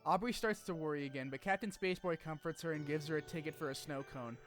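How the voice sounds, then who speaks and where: A man with a slightly high voice hosts a gaming video essay. He narrates the story from a script and drops in informal, animated asides with the odd swear. Music plays under his voice. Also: fast talker. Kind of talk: scripted game story recap with casual asides.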